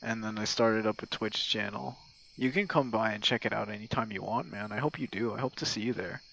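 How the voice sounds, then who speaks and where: A man's voice: on the low side, brisk, creaky, fairly bright tone, poor audio. A young man leaving a casual, laid-back audio message for a gamer friend, rambling and self-deprecating with plenty of swearing. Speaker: online audio roleplay creator and streamer. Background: crickets and night sounds.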